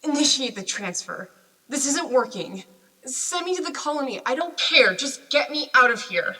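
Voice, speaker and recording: A woman playing a desperate stranded scientist in a radio drama, halting and pleading as she logs a transmission. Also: sits high, very animated, pushed and bright, full character voice. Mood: desperate, angry. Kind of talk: radio drama acting, logged transmission monologue. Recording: echoey, distant, long reverb.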